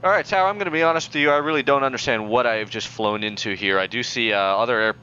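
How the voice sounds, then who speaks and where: A young man playing a pilot in a flight game, using formal radio phrasing in a deadpan, increasingly exasperated way over a headset. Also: medium pitch, quick pace, dull audio. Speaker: pilot player in a flight sim. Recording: game audio in background.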